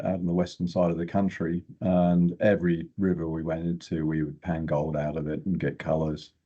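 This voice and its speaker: A man's voice: low-pitched, dark tone, breathy edge, dull audio. An older man, an exploration geochemist, telling stories casually as an interview guest.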